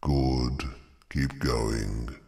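A man's voice: a bass voice, fairly even intonation, very slow, darkish tone, long reverb tail, voice pushed down, exaggerated voice. A man voicing a stern old male dragon who drills his pupil with clipped questions.

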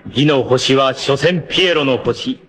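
A man voicing a vain, treacherous martial-arts villain in an anime, with a theatrical, haughty, drawn-out delivery. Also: mid-range voice, a bit bright, close to an everyday voice. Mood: haughty, scornful. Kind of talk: dramatic anime voice acting.

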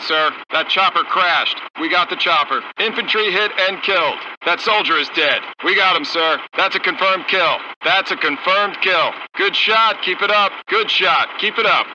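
An actor voicing a gung-ho American tank crew commander in a strategy game, terse and brisk, through a military radio filter. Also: male voice, sits high, bright, forceful tone. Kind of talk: clipped military unit voice lines in a strategy game. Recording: dull radio sound with faint noise.

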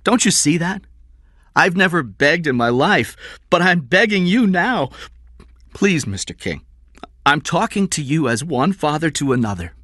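Audiobook role: defeated male employee acted by a man, imploring, high and emotional, very animated pitch. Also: a little slow, no room sound, clean studio sound, exaggerated voice. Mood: desperate pleading. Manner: pleading, begging. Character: desperate, humble father, a defeated male employee. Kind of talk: audiobook character acting.

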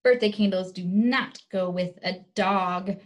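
A woman's voice: medium pitch, measured pace. A young woman teaching small children in simple, repeated, encouraging phrases, recorded indoors on a device mic a little way off. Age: young adult. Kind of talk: instructional lesson for young children. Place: small room indoors, sitting on the floor.

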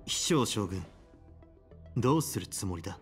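Calm, low-voiced dignified man general played by an actor. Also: soft background music, close to an everyday voice. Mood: calm, resolute. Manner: calm, formal, deliberate. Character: calm, dignified male general in fantasy game. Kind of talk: restrained game voice acting.

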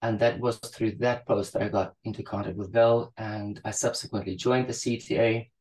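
A man in his thirties with a South African accent speaks in a fairly low voice. He talks in a measured, thoughtful way with frequent restarts, heard through a webcam on a video call from a small room. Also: fairly even intonation, a little mellow, poor audio. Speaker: psychologist guest. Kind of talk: interview over video call.

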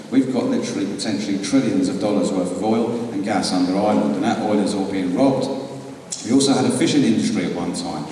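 British man, 40s, halting conference speaker; lowish voice, distant, very roomy hall sound. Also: darkish tone, slight background noise. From England. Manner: halting, many ums and uhs. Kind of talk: public talk. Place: large hall on a stage. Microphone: handheld mic. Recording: echoey hall from far off.

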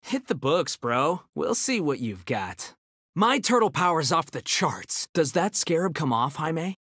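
A man's voice: fairly high pitch, very animated, a little slow, a bit bright, slightly rough, dry recording, clean studio sound, exaggerated voice. A man voicing a brainy, cocky young ninja turtle in a video game, with an American accent and nerdy, quippy confidence. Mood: confident, nerdy. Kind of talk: video game character battle banter.

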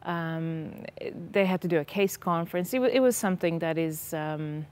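A woman's voice: lowish voice, moderate pace, darkish tone. Earnest woman, hesitant speech. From Israel. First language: Hebrew. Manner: earnest, hesitant, many ums. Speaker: guest. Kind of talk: personal testimony in a TV interview. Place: TV studio set. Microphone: lapel.

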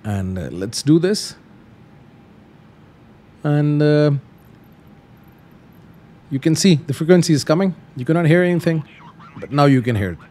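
A man's voice: fairly high pitch, lively intonation, unhurried, pauses often, a little mellow, a little hiss or hum. A man hosting a tutorial, explaining things casually step by step and repeating simple instructions. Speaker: host of flight simulator tutorials.

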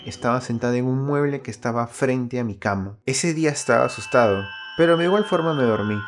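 A man hosting an animated storytime video, narrating personal tales from a script. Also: mid-range voice, fairly quick, noisy background.

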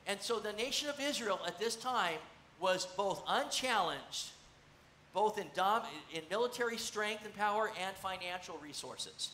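A middle-aged man delivering a sermon with animated, conversational energy, his voice high and bright. He speaks on a church stage, and the sound carries a roomy echo with a faint hiss underneath. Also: normal speed. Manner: animated, conversational, gesturing while seated on a stool. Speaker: pastor. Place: church stage, large room. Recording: echoey church room, faint noise.

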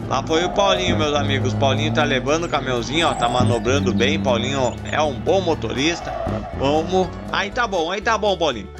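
High-voiced man narrating toy farm play. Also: animated. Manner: chatty, addresses viewers as friends, calls out to cattle in drawn-out herding cries. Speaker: toy farm channel host. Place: outdoors in a dirt yard with toy animals. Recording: background music under the voice.

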